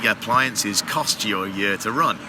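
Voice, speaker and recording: Low-pitched male guest, relaxed rambling explainer, trade show noise in the background. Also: normal speed. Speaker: guest, water heater company manager. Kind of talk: casual interview on a trade show floor. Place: busy trade show floor. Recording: noisy expo floor background.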